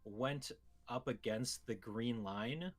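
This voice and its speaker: A man's voice: mid-range voice, takes it slowly. A man with a mid-range voice talks casually as a co-host on a gaming show. He discusses video games in an informal, conversational way.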